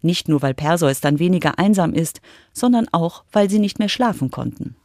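A woman's voice: fairly low pitch, expressive, normal speed, noisy background. A woman reporter reading scripted narration for a children's radio feature.

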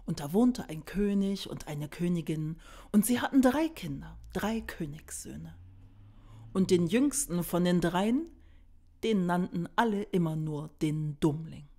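A woman storyteller narrating a fairy tale expressively, unhurried and dramatic, at times hushed. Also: fairly low pitch, animated. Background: piano music.

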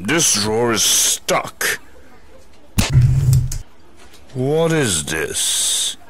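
A man's voice: mid-range voice, background noise, heavily acted. A man playing a big, naive, blithely cheerful superhero in a hammy comic-book dub, bombastic in manner. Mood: curious. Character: dim, blithely cheerful, oversized male superhero in clumsy disguise.

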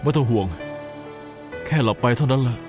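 A man voicing a calm, protective guardian with a matter-of-fact manner in a Thai anime dub. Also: mid-range voice, a little fast, music in the background, natural voice.

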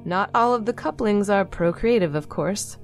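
An actress playing a weary, exasperated android nun giving a broadcast in a dry, articulate, wry manner in an audio drama. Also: female voice, mid-range voice, lively intonation, moderate pace, soft background music, natural voice. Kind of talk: scripted audio drama monologue.

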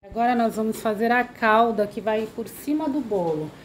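A woman's voice: a little high, moderate pace, slight background noise, poor audio. Woman narrating a home recipe. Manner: friendly, uses many diminutives. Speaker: cooking channel host.

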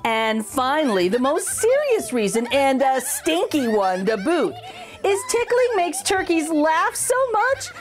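Bright, high-voiced woman, middle-aged, animated picture-book reader, soft music behind. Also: measured pace. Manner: sing-song, exaggerated storytelling voice. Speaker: children's book reader, channel host. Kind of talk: expressive picture-book read-aloud.

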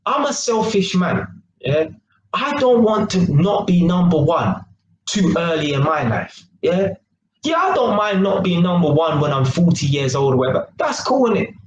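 A man's voice: high-pitched, normal speed, muffled recording. A man, talking casually and with a lot of slang to a webcam in a small kitchen, often checking in with "yeah?". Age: 20s. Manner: animated, slangy, keeps asking "yeah?" and gesturing. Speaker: vlogger. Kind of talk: casual monologue to camera. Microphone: webcam at arm's length. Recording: echoey kitchen, faint noise.

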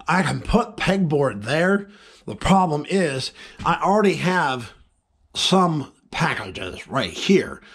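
A man's voice: a little high, slightly rough. A man in his thirties casually talking to camera, explaining his shop and tackle projects step by step. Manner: slow, easygoing, explaining step by step. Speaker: fishing vlogger. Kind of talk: casual vlog talk to camera. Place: outdoors by a pond and in a small wooden shop shed. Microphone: camera-mounted mic.

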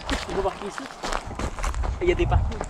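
A man's voice: high voice, fairly even intonation, brisk, heavy background noise. A young man with a Québécois French accent, thinking aloud and asking questions while hiking a trail. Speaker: urban explorer. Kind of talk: casual outdoor vlog chat.

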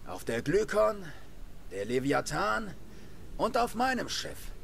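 An actor playing a laid-back, roguish space scavenger in a video game, with a gravelly voice and a drawling manner. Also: male voice, sits high, big pitch swings, takes it slowly, a bit bright, a little hiss or hum, full character voice. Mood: wry, casual. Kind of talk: video game cutscene acting.

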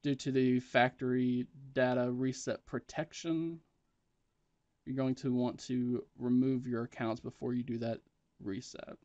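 A man's voice: medium pitch, flat delivery, a little slow, darkish tone, no room sound. Man giving a dry, muffled tech tutorial. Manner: plain, instructional, matter-of-fact. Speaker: tutorial presenter. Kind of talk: step-by-step spoken tech tutorial.

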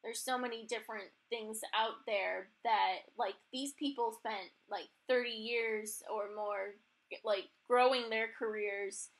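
A young woman with a fairly high voice hosts a podcast in a casual, conversational way. Her phrasing is informal and tentative, often feeling its way toward the point. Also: fairly even intonation, measured pace, poor audio. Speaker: podcast host. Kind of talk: casual interview chat.

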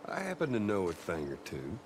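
An actor playing a dry, laconic middle-aged Texan father figure, gruff but gentle, in a naturalistic video game style. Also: lowish voice, wildly swooping pitch, fairly quick, a little hiss or hum. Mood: gently teasing.

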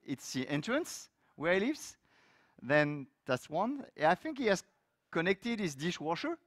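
French-accented man, middle-aged, conference speaker, slightly high voice, dry close sound. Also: unhurried, dry recording. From France. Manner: loose, lively delivery with frequent fillers and restarts. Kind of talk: technical conference talk. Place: large conference hall stage.

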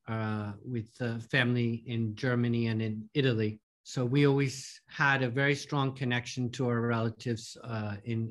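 Podcast guest: fifties, New Yorker with his accent, mid-pitched, hesitant and full of "uh"s. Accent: American, New York. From New York, USA. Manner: hesitant, many fillers and repeated words. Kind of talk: casual interview talk.

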